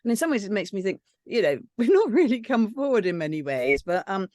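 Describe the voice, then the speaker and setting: A woman's voice: mid-range voice, very animated, a little fast, darkish tone, no room sound. A woman on a reading panel giving casual, tentative feedback, circling round her phrases. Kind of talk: casual discussion.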